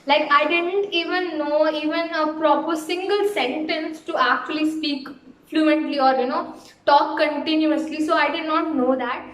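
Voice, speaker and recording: A woman's voice: high voice, fairly even intonation, a little slow. A young woman with an Indian English accent, a Tamil speaker, chatting to camera as a vlog host giving an English-speaking lesson with lots of fillers and restarts. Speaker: YouTube host. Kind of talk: casual talk-to-camera lesson. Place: room at home. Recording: very echoey room.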